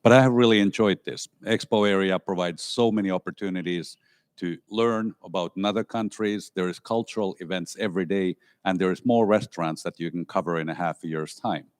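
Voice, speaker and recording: A man's voice: low-pitched, a little fast, clear, resonant tone, dry recording, clean studio sound. A man from Finland, a student panelist speaking candidly and at length. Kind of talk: panel discussion.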